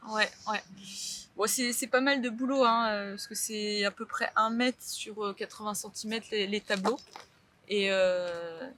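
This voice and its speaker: A woman's voice: mid-range voice, steady tone, a little slow, fairly bright tone. A young woman from Brittany, a painter, talking casually in an interview with many fillers and restarts.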